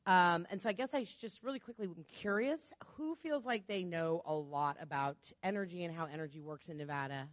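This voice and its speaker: A woman originally from Chicago giving a chatty, fast-paced talk to a club audience, with joking asides. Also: lowish voice, no echo. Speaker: guest speaker.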